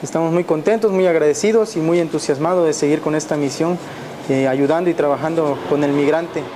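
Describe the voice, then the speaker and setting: A young man in his twenties from Mexico, a seminarian sharing his testimony with hesitant pauses. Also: a little high. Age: 20s. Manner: hesitant, many fillers and restarts. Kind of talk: personal testimony.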